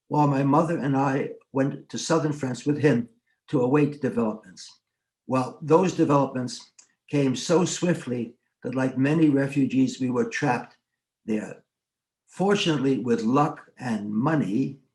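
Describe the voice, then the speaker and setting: A man's voice: mid-range voice, a little mellow, rough recording. A man in his eighties or older, from the Netherlands, reading a scripted family testimony as a survivor. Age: 80s or older. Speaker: Holocaust survivor giving testimony. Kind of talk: scripted personal narrative.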